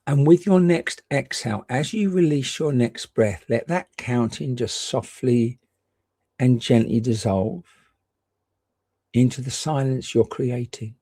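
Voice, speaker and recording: A man gently and reassuringly guiding a meditation, leaving unhurried pauses between short phrases. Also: medium pitch, no room sound. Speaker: meditation guide. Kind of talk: guided meditation.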